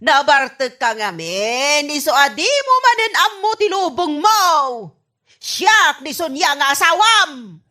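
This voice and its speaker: Woman playing a shrill, scolding Ilocano wife. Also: big pitch swings, takes it slowly, bright, forceful tone, exaggerated voice. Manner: scolding, indignant. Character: nagging, exasperated wife of a drunkard. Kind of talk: broad comedy radio drama acting.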